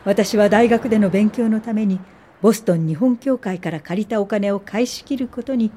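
Older lady acting narrating her life for radio drama, earnest, warm dark tone, bit of noise. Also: medium pitch, normal speed, close to an everyday voice. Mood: reflective. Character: earnest Japanese woman social worker recalling her life. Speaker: narrator and lead actor.

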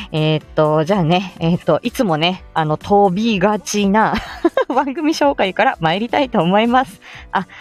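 A woman chatting casually as a live-stream host, greeting listeners from inside a car. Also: medium pitch.

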